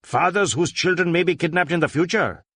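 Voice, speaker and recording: A man's voice: fairly high pitch, a little fast, sharp tone, dry recording, studio quality, voice pushed up. A man voicing a stern, brusque police superintendent who speaks emphatically and repeats himself for weight. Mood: brusque, insistent.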